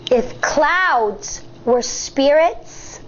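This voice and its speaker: An American woman in her thirties, a teacher reading a picture book aloud to children in an expressive, deliberate way. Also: high voice, lo-fi sound, slight background noise. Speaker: elementary school teacher.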